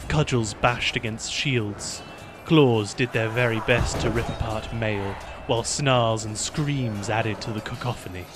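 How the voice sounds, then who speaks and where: A man narrating a fantasy battle in measured, scripted storytelling for a fan audio drama. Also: mid-range voice.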